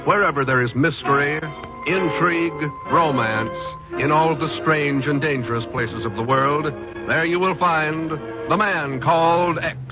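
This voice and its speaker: A man with an American accent announcing a 1950s radio show in a grand, declamatory style over loud orchestral music. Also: on the high side, unhurried, dull audio.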